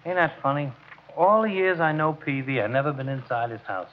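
An actor playing a plainspoken, folksy, tactless poker buddy in a 1940s radio comedy. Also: male voice, fairly high pitch, expressive, brisk, over loud music, natural voice. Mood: casual. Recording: muffled, noisy 1940s radio.

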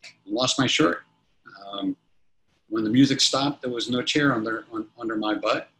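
A man's voice: medium pitch, normal speed, fairly bright tone, low-quality recording. A man in his fifties, a company chief executive, answering interview questions casually, rambling with many restarts and fillers. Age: 50s. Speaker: company CEO guest.

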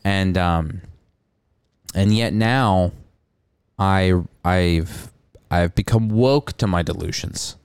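A young man in casual podcast banter, joking at his own expense as he rambles on. His voice sits a little low and comes across smooth and clear. The sound is close and dry. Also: slow pace, a little mellow, dry recording. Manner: self-deprecating, rambling, joking. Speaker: podcast co-host. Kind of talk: casual comedic chat.

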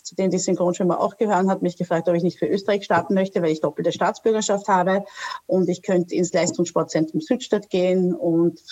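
A woman's voice: fairly low pitch, fairly even intonation, fairly quick, mellow, lo-fi sound. A woman from Australia, a guest in a casual interview, talking hesitantly with many fillers and restarts.

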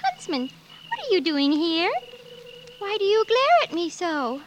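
A woman's voice: extremely high pitch, wildly swooping pitch, measured pace, slight background noise, exaggerated voice. An actress playing a sweet, timid young princess in 1940s radio style, breathless and childlike in her exclamations. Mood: puzzled, alarmed. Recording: vintage 1940s radio recording, orchestral music behind.

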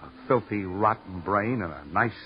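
A man's voice: fairly low pitch, moderate pace, slight background noise, close to an everyday voice. A man playing a dapper, chatty little theater manager in an old radio crime drama, effusive and repetitive. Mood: confiding, sour. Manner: effusive, repeats himself, theatrical. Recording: dull old radio recording, faint music.

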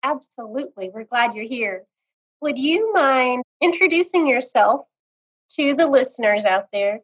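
A woman's voice: high-pitched, animated, measured pace, a bit bright, breathy edge, dull audio. A woman hosting a podcast, asking encouraging, friendly questions in an interview. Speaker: podcast host.

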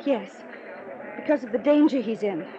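A woman's voice: a little high, normal speed, crowd noise, close to an everyday voice. An actress playing an anxious young woman in a 1950s radio drama, speaking urgently and pleadingly. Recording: dull lo-fi radio with noise.

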